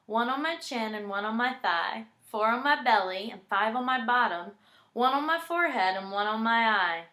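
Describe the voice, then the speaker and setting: A woman with a fairly high, clearly bright voice talks and reads as if to a young child at bedtime. Her delivery is affectionate and personal, turning animated and sing-song for children's verse. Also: reverberant. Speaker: storyteller reading children's poems. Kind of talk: personal bedtime video message and expressive poem reading for a child.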